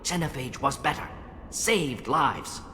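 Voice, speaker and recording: A man voicing a brisk, analytical alien professor in a video game, speaking in clipped, telegraphic phrases. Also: medium pitch, lively intonation, sharp tone, a little hiss or hum. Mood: terse, defensive. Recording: reverberant hall, faint music behind. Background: faint music.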